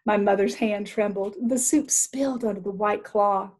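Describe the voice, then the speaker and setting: Slightly husky woman reading a storybook. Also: mid-range voice, steady tone, moderate pace, darkish tone. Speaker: storyteller reading a picture book aloud. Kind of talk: expressive children's story narration.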